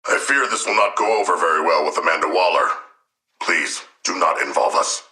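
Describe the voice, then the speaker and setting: A huge humanoid shark from a video game, with a very low, hard-edged male voice in an echoing space. He is a proud but gentle scholar of a villain, choosing formal words and delivering them deadpan. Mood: earnest, thoughtful. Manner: formal, eloquent, measured, deadpan diction. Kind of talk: video game character voice lines.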